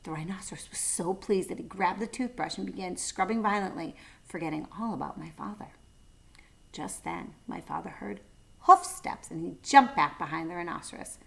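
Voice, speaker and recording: A woman's voice: on the low side, moderate pace, creaky, a bit bright. A woman reading a children's storybook aloud, adding short asides for young listeners.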